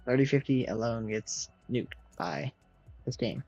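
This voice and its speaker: A young man in his late teens with a loud, projecting voice, rambling casually to his viewers while he games and answers their messages. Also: mid-range voice, fairly even intonation, unhurried, creaky. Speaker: streamer. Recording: slightly muffled, faint game music behind.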